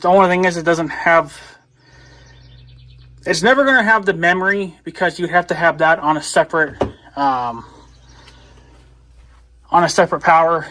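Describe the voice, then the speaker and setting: A man's voice: on the high side, sharp tone. A man casually demonstrating gadgets as a video blogger, rambling and thinking aloud as he goes.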